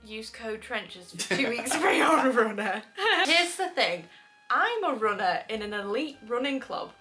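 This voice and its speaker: Chatty woman host, nasal with a cold, fairly high, very bright tone, laughs often. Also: sing-song, a little slow, soft background music. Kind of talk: casual chat reading posts aloud.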